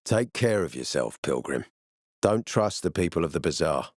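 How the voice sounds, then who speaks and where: Terse, menacing military commander, dry close sound. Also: male voice, very deep voice, very animated, moderate pace, no echo, exaggerated voice. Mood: guarded warning. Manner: terse, menacing, sardonic, profane. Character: tough, suspicious military peacekeeper commander, gruff and threatening. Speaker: video game voice actor. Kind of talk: video game character dialogue.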